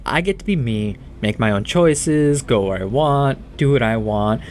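A man's voice: medium pitch, animated, unhurried, a little mellow, slight background noise, natural voice. An actor playing a cocky young male troublemaker who needles his friend in a mocking drawl for a horror audio drama. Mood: smug, taunting.

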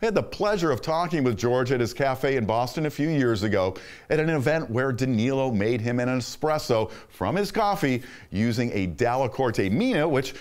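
A middle-aged man narrates a scripted voice-over, his pitch rising and falling freely. He sounds enthusiastic and upbeat, in a mid-range voice. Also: expressive, normal speed, a little mellow. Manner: enthusiastic, upbeat presenter delivery. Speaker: host.

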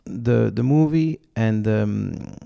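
A man with an Italian accent hosting a podcast interview, halting and searching for words as he asks questions. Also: lowish voice, gravelly, dark tone. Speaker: host.

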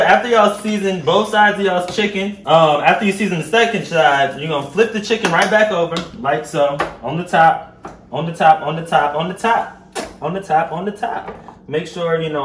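A man in his twenties with a Southern US accent, talking playfully and sassily to viewers in casual slang as he hosts a home cooking vlog. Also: high voice. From Louisiana, United States. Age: 20s. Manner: playful, sassy, animated, slangy. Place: indoors in a home kitchen. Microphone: camera a step or two away. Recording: echoey kitchen, some background noise.

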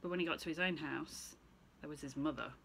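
A woman's voice: low-pitched, a little fast, creaky, fairly bright tone, slight background noise, poor audio. A woman in her thirties reading a picture book aloud to children as a teacher, asking the listener questions as she goes. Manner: asks the listener questions between story lines. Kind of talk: expressive children's story reading. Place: small bright room indoors, seated in an armchair.

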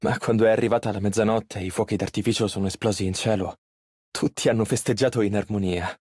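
A man's voice: on the low side, fairly even intonation, quick pace, darkish tone, husky, dry recording, clean studio sound. An actor playing a polite, earnest and easily flustered young male tailor in an Italian game dub. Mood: calm, reflective. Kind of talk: video game character voice lines, Italian dub.

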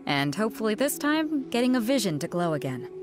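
A woman's voice: big pitch swings, fairly quick, natural voice. An actress voicing a bold, confident female sea captain who organizes a fighting tournament and teases her companions, in a game cutscene with music behind her. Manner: booming announcer calls to a crowd. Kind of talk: video game voice acting.

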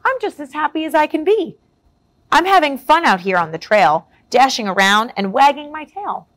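A woman's voice: high-pitched, very animated, normal speed, fairly bright tone, pitched above the performer's own voice. A woman voicing a friendly, cheerful young male dog in a storybook, eager and sweet.

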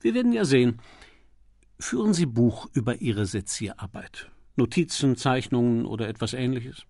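Suave gentleman sleuth read by a man, patient and confident, courteous probing questions, audiobook dialogue, very dry sound. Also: medium pitch, lively intonation, moderate pace, dry recording. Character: suave male aristocratic amateur detective, patient, probing, confident.

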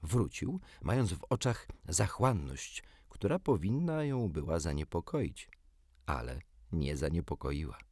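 Low-voiced, animated male storyteller. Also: measured pace, breathy edge, no echo, studio quality. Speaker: audiobook narrator. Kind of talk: expressive scripted storybook narration.

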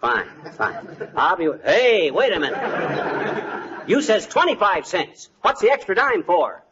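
A man's voice: sits high, sing-song, takes it slowly, crowd noise, full character voice. A man playing a cocky, bumbling husband with slangy bluster in a 1940s radio comedy. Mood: bemused, wisecracking. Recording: muffled, noisy 1940s radio recording.

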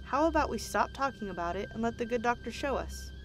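Female doctor, acted by a woman: eager, full of wonder, highish lively voice, music clearly underneath, audio drama. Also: fast, rough recording. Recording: music behind the voice.

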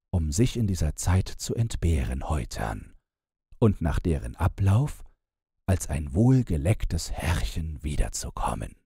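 A man, narrating a bedtime fairy tale in a measured, gentle storytelling manner, close to the microphone. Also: deep voice, husky, dry recording. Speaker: audiobook narrator.

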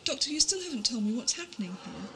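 Woman playing a high-voiced sceptic, noisy tape. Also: very animated, fast, sharp tone. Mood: curious, alarmed. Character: sceptical, questioning woman at a research base in space. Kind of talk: audio drama acting.

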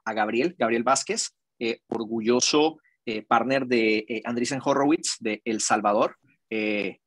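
Slightly husky man moderating, dry sound. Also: medium pitch, a bit bright, no echo. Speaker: panel moderator. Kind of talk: casual hosting of an online panel. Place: indoors on a video call. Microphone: headset on a video call.

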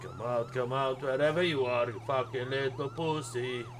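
A man's voice: medium pitch, wildly swooping pitch, normal speed, noisy background. A man chatting casually and crudely while role-playing a paramedic in an online game. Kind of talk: casual in-game roleplay chat.

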